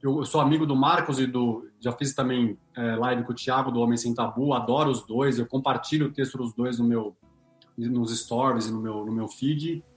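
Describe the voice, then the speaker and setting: A man's voice: medium pitch, fairly even intonation, normal speed, roomy sound, faint music behind. A man with a Brazilian Portuguese accent, a podcast guest speaking openly and a little self-deprecatingly about his work. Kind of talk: casual podcast chat.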